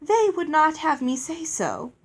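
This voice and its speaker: Woman acting gushing, high, airy fairy lady. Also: sing-song, unhurried. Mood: blithely gracious. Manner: gushing, doting, grandly gracious. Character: sweet, beautiful, airy female fairy, blithely self-satisfied.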